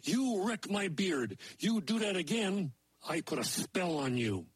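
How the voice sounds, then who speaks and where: A nasty little bearded dwarf yells petulant insults in a raised, husky voice, as a man puts him on. His voice climbs high when he is outraged. The sound is dry and close. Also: sing-song, a little slow, no room sound, exaggerated voice. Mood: angry.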